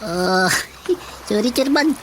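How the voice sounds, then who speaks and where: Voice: medium pitch, expressive, takes it slowly, a little hiss or hum. A performer with an Ilocano accent playing an eager, cheeky village watchman in a radio drama comedy, giggly and full of exclamations. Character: eager, cheeky village watchman sidekick, snooping errand-runner.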